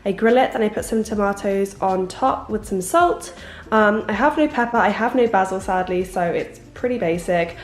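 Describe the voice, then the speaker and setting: A woman's voice: medium pitch, normal speed, echoey room. A young woman in her twenties from the UK, stuffy-nosed from a cold, chatting casually through her morning routine. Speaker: lifestyle vlogger. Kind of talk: casual vlog voice-over.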